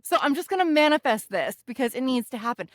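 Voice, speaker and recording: A woman's voice: high-pitched, animated, fast talker, no room sound, clean studio sound. A woman from Finland co-hosting a casual podcast, playful and giggly, swearing freely as she chats. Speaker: podcast co-host. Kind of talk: casual chat.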